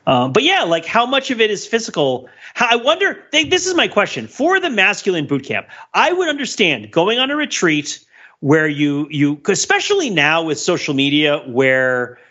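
A man with a slightly high voice talks casually as a podcast host. He rambles, doubles back and thinks out loud mid-sentence. The recording is a little dull and lo-fi. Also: huge pitch swings, normal speed, slightly rough. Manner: rambling, self-interrupting, thinking aloud. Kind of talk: casual conversational discussion.

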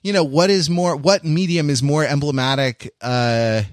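A man's voice: on the high side, fairly even intonation, normal speed, dry recording, studio quality. A middle-aged man chatting as a podcast host in a casual, intellectual way, wry and digressive, often searching for his words. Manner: erudite, wry.

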